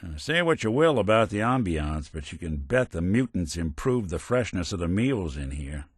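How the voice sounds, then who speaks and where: A man's voice: low voice, sing-song, normal speed, breathy edge, no room sound, full character voice. A man playing a world-weary, middle-aged android detective in a video game, with an American accent and a wry, hard-boiled way of talking. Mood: dry, sardonic. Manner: wry, dry, old-fashioned hard-boiled slang. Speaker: companion character in a video game.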